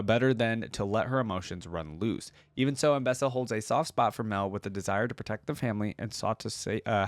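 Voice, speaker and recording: A man's voice: lowish voice, no echo. A man reading text aloud as a reaction video host, dropping in casual asides between passages.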